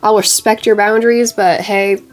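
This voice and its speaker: A young woman with a stuffy, congested voice, getting over a cold, chats away while doing her makeup. Her talk is bubbly and loose, stuffed with "like" fillers, and her pitch sits in an ordinary middle range for a woman. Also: steady tone, moderate pace, soft background music. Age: young adult. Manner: chatty, bubbly, lots of "like" fillers. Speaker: beauty vlogger.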